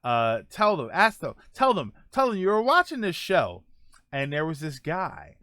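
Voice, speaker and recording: A man's voice: fairly high pitch, wildly swooping pitch, bright, forceful tone, no room sound. Chatty man with a dry, close sound. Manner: halting, many ums and restarts, reads tweets aloud. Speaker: podcast host reading viewer topics. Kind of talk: casual solo podcast chat.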